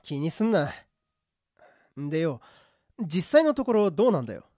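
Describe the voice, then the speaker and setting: Man as a gruff, animated guard, dry sound. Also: on the high side, normal speed, dry recording. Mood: curious, casual. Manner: blunt, rough slangy talk. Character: gruff but kind-hearted rough-talking male prison guard. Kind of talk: amateur radio drama acting.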